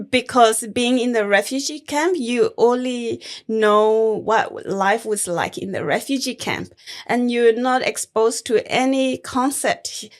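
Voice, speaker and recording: A young woman from Thailand, a Karen refugee, speaking slowly and reflectively as a guest in an interview. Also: a little high. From born in Thailand, Karen refugee. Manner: slow, measured and reflective, with gentle laughs. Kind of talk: conversational interview.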